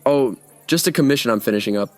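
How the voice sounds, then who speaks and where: A man in his twenties playing an easygoing young art student who talks in a casual, joking way, over soft music. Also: mid-range voice, lively intonation, fast, natural voice. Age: 20s. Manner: casual, joking and laid-back. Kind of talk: audio drama acting.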